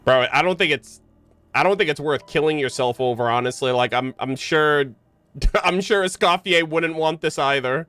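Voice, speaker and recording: A man's voice: fairly high pitch, moderate pace, pushed and bright, clean tone, faint music behind. A young man streaming a game with casual, slangy commentary, joking and incredulous. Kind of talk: casual live gameplay commentary.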